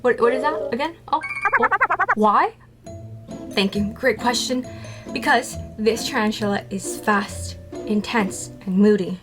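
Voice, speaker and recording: A woman's voice: fairly high pitch, measured pace, music in the background. A woman talking to camera about her tarantulas, chatty and playful, asking herself questions and then answering them. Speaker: tarantula keeper vlogger. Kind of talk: casual scripted talk to camera.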